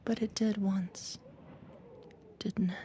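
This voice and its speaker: A woman playing a calm, quietly controlling therapist in a slow, breathy, whispered ASMR hypnosis roleplay, soothing yet ominous. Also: mid-range voice, a little hiss or hum, natural voice. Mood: hushed, eerie.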